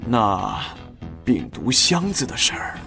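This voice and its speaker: A worried male subordinate officer in an animated comic drama. His voice is medium in pitch and deferential, with music clearly audible behind it. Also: slow, full character voice. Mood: hesitant, worried. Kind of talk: motion-comic drama dubbing.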